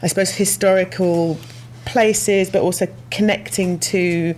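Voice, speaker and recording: A woman's voice: fairly low pitch, steady tone, measured pace, darkish tone, slight background noise. A woman artist giving a casual panel talk at a lectern microphone in a university lecture room, hesitant and full of fillers.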